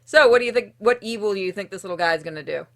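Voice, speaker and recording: A woman reading a visual novel game's narration aloud in an expressive storytelling way, with casual, dryly humorous asides. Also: mid-range voice, fast talker. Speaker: streamer playing and narrating a game.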